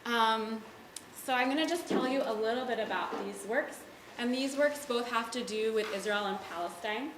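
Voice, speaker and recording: A woman's voice: a little high, moderate pace, noisy background. Chatty woman in an echoey gallery. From United States. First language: Hebrew. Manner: chatty, lots of "like" and "um" fillers. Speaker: artist giving a gallery talk. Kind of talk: casual presentation to an audience. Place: art gallery, indoors.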